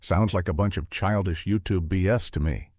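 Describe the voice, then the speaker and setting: A man's voice: low-pitched, moderate pace, darkish tone, no echo. Sarcastic man, dry close recording. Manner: sarcastic, blunt, confrontational. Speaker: host, mock interviewer. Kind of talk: scripted satirical interview commentary.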